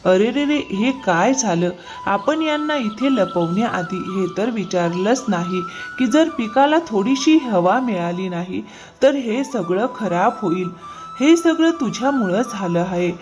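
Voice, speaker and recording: A woman voicing a greedy, deceitful farmer man in a moral-story cartoon, flattering and easily flustered. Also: medium pitch, measured pace, faint music behind, close to an everyday voice. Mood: despairing.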